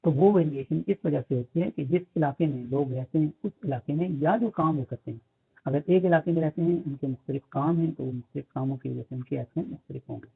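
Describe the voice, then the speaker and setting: A man with a Pakistani accent, teaching phonetics in a lecture. He mixes English terms into his explanations, uses many fillers and reads English lines slowly. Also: a little high, gravelly, breathy edge. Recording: tinny phone-like audio.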